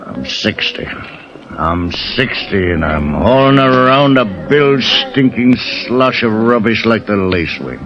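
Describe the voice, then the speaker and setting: Boozy old skipper, sixty, bitter and self-pitying, slurred and slow, 1950s radio drama, muffled vintage sound. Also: male voice, lowish voice, lively intonation, a crowd in the background. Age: 60s. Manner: slurred, halting drunken talk with coughs and grumbling. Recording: dull old radio recording with music.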